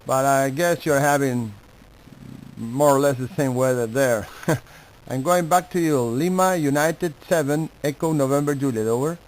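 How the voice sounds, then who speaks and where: A man with an Argentine Spanish accent chatting on ham radio in polite, halting non-native English. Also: mid-range voice, low-quality recording. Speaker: amateur radio operator. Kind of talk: casual ham radio chat.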